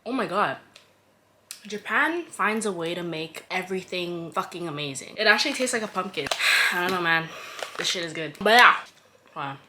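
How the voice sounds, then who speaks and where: A young woman from Toronto talks straight to camera in a casual vlog, her voice bright and a touch low-pitched. She's chatty and dryly sarcastic, tossing in the odd swear. A faint hum of room noise sits under her. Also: animated, normal speed. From Toronto, Canada. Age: 20s. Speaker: vlogger.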